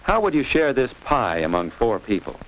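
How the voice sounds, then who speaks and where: A man's voice: medium pitch, sing-song, normal speed. An American man reading scripted narration for a 1950s children's instructional film, in a didactic way, putting questions to young listeners. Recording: muffled, noisy old 1950s film soundtrack.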